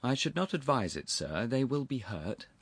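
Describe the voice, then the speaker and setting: A man voicing an affable, impudent young gentleman in a storybook, unflappable, courteous and easily conversational. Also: medium pitch, sing-song, normal speed, no echo, pitched above the performer's own voice, heavily acted. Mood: affably obstinate. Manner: smooth, courteous, easy conversational cheek. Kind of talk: audiobook character voice.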